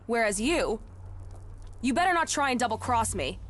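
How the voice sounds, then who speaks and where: An actress playing a brash, foul-mouthed, bloodthirsty outlaw warrior in a video game, sneering and cocky. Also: female voice, fairly high pitch, big pitch swings, normal speed, fairly bright tone. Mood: angry, incredulous. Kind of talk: dramatic game dialogue.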